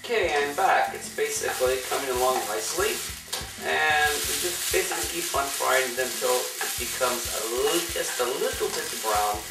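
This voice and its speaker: A hoarse man who has just had a cold, hosting a home cooking show and giving relaxed step-by-step instructions with many fillers while he cooks at the stove. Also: mid-range voice, normal speed, noisy background. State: hoarse, losing his voice after a cold. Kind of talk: cooking demonstration. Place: home kitchen at a gas stove. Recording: echoey kitchen, mic at a distance, music.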